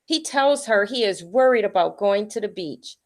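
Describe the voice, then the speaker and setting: A woman reading a picture book aloud to children in a deliberate storytelling manner. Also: mid-range voice, animated.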